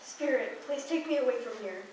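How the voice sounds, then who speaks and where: A woman's voice: high-pitched, animated, brisk. A teenage girl playing an earnest, repentant old miser in a student radio play. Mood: pleading. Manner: earnest, pleading. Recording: echoey room, distant mic, faint noise.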